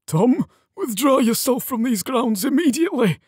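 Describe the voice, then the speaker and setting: A man voicing a stern, frail knight trainer in an audiobook, scolding with a strident, rough voice. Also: high voice, lively intonation, takes it slowly, a little mellow, no room sound, studio quality, voice pushed up, exaggerated voice. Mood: barking.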